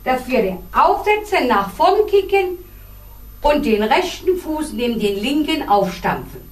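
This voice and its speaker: A woman teaching line dance step by step, counting out the beats in a measured, deliberate way. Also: high voice, very animated. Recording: roomy echo, distant microphone.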